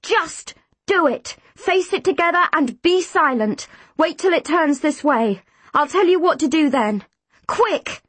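A woman's voice: high-pitched, lively intonation, measured pace, no echo, voice pushed up. A woman voicing a commanding male mentor in a children's story, urgent and instructing. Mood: shouted, urgent. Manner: forceful, instructing.

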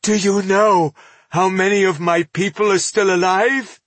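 Man as an anguished elf lord, high and impassioned. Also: steady tone, unhurried, dry recording. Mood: anguished grief. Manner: accusatory, impassioned. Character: anguished, indignant male elf accusing his people's killer.

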